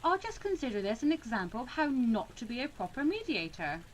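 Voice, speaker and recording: A woman's voice: fairly high pitch, sing-song, fairly quick, a little hiss or hum, poor audio. A woman voicing a young female student mediator from a visual novel, indignant, reluctant and principled, in an emphatic, sulky way. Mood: sulky, resigned. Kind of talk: visual novel read-aloud.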